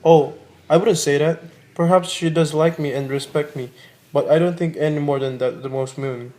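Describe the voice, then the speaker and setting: An actor playing a fond, self-satisfied middle-aged husband in a radio play, with a mock-pompous, teasing manner. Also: male voice, on the high side, steady tone, normal speed, darkish tone, close to an everyday voice.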